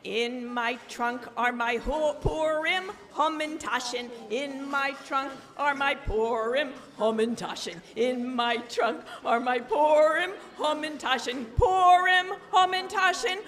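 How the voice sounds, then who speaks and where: A high-pitched woman leads a live children's sing-along with unhurried, drawn-out delivery. She is playful and animated, prompting the young audience. The sound is a big, reverberant hall with music. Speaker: children's event song leader. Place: large indoor social hall. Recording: echoey social hall with music.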